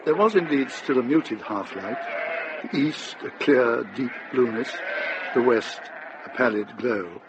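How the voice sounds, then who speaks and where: A man's voice: medium pitch, expressive, measured pace. Male storyteller over noisy bleating sheep. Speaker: narrator. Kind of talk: scripted literary narration. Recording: muffled, noisy outdoor sheep ambience.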